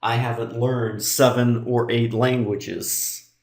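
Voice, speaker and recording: Male English teacher, mid-pitched, very slow and deliberate, in an echoey room. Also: little pitch movement. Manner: slow, deliberate delivery for learners. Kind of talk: online English lesson.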